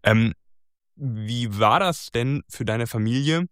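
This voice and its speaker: A man's voice: medium pitch, slow, fairly bright tone, clear, resonant tone, dry recording, studio quality. A man hosting a casual conversation, talking hesitantly and searching for his words. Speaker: host.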